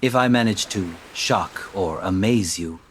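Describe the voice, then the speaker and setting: A man's voice: deep voice, a little slow, slight background noise. A man voicing a young Filipino man who guards the way to a hidden kingdom, talking in a teasing, skeptical way. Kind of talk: amateur animated voice acting.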